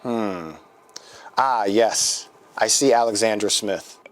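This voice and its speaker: A man acting as an American clinic receptionist on a scripted training call. The voice sits on the low side, courteous and accommodating, explaining procedures helpfully. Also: slow pace. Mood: helpful, businesslike. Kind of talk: scripted training role-play phone call.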